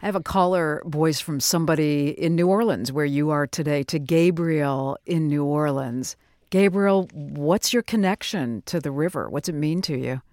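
A man's voice: on the high side, normal speed, darkish tone, dry recording, studio quality. A man hosting a call-in radio talk show who is encouraging toward his guests.

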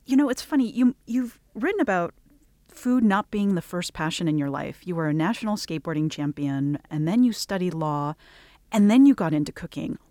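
A woman's voice: on the low side, animated, fairly quick, mellow, dry recording. A woman interviewing a chef, asking attentive questions and responding appreciatively. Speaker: radio interviewer.

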